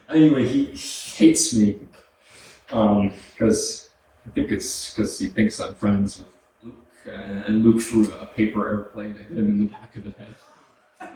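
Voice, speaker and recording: A man's voice: lowish voice, darkish tone, close to an everyday voice. A man acting a sardonic, slangy young dead brother in a staged play reading, recorded from a distance. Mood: casual. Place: small arts-centre stage, distant mic. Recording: roomy echo, distant mic, noisy audio.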